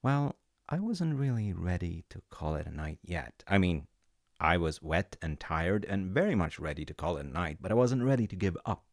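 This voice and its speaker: A man with a very deep, gravelly voice talks slowly and gently, like a dad telling a comforting story with self-mocking asides. The sound is dry and close to the mic. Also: lively intonation, no echo. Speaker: audio roleplay storyteller playing a dad.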